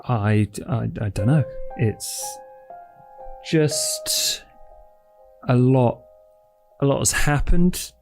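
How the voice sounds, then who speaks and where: A man's voice: medium pitch, animated. A man in a tabletop game voicing an awkward young male vampire who stammers and trails off nervously. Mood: flustered. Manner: halting, stammering, flustered. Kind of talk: tabletop roleplay in character. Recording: music bed under the voice.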